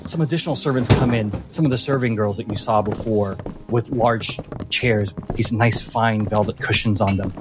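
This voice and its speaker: A man's voice: lowish voice, moderate pace. A man improvising scene narration as game master of a tabletop fantasy game. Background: background music.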